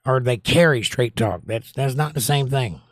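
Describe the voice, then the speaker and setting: A slightly hoarse middle-aged man hosting a livestream, chatting casually and teasing his viewers as he reads their comments. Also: medium pitch, expressive, normal speed, no echo. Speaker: livestream host. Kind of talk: casual chat reading viewer comments aloud.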